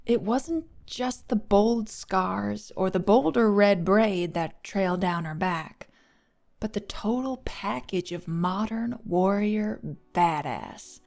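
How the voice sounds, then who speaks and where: Woman narrating an audiobook, medium pitch. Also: takes it slowly, gravelly, darkish tone.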